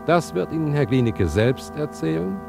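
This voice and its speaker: Radio drama narrator: knowing, creaky, lively intonation, over guitar. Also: male voice, a little high, normal speed. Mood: teasing, presentational. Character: knowing male storyteller addressing his audience. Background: guitar music.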